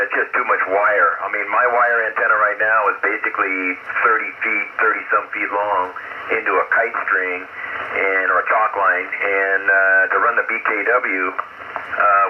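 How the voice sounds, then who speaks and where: A man, an amateur radio operator, chatting plainly and drifting between thoughts, heard over a ham radio link from outdoors. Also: on the low side. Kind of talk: casual radio chat. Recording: tinny, static-laden radio signal.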